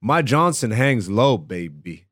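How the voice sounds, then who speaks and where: A man's voice: on the low side, very animated, a little slow, fairly bright tone, no echo. A man born in Greece doing a brash, slangy, casual comedy podcast monologue, swearing freely as he hosts from a home studio.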